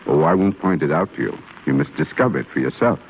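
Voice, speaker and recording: An actor playing a kindly, earnest older stonecutter in a measured, preaching 1930s radio drama style. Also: male voice, extremely low pitch, lively intonation. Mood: reverent, assured. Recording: muffled scratchy 1930s radio broadcast.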